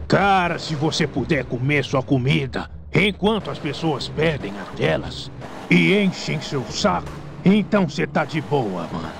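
A Brazilian man voicing a laid-back, gluttonous fat gangster in a video game fan dub, drawling slangy Portuguese with smooth excuses. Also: on the high side, very animated, unhurried, exaggerated voice. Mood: evasive, easygoing. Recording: noisy game audio with vehicle sounds.

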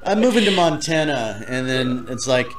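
A man's voice: medium pitch, big pitch swings, normal speed, a loud crowd, low-quality recording. A man in his forties from Los Angeles, hosting a casual interview over video call, laid-back and chatty with a self-deprecating streak. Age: 40s. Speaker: podcast host.

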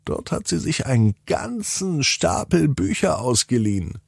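A man narrating a bedtime story for children in an expressive, playful way, drawing out words and pausing for effect. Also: on the high side, vocal fry, breathy edge, no echo, clean studio sound. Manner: deliberate, playful, with drawn-out words and dramatic pauses.

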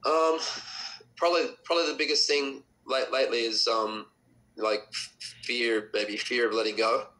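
A man in his forties answering questions hesitantly, with many pauses and restarts, over a video call. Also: mid-range voice, fairly even intonation, pushed and bright, low-quality recording. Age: 40s. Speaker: client of a reading. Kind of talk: casual conversation.

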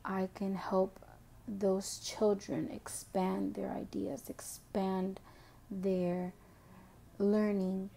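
Slow-reading young woman, gravelly voice. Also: medium pitch, level tone, mellow, a little hiss or hum. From Mexican background. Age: young adult. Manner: slow, careful reading, switching to Spanish for a passage. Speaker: education student. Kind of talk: reading a prepared self-introduction.

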